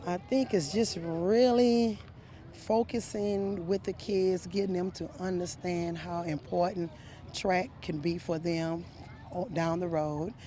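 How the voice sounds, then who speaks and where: A man's voice: high voice, dark tone, a little hiss or hum. A man who coaches a youth track program, answering interview questions outdoors at a meet, earnest and enthusiastic.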